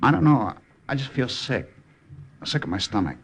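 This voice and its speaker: A man's voice: medium pitch, big pitch swings, normal speed, slight background noise. An actor playing a sick young American soldier who tells his jungle ordeal wearily in an old radio drama. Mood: weak, feverish. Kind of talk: 1950s radio drama acting, first-person narration. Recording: old radio broadcast, music behind.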